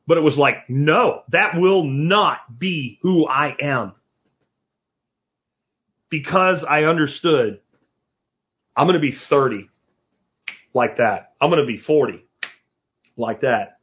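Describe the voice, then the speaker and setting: A man's voice: fairly high pitch, animated, slow, pauses often, lo-fi sound. A man in his fifties giving a blunt, profane motivational talk as a podcast host, lecturing his listeners. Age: 50s.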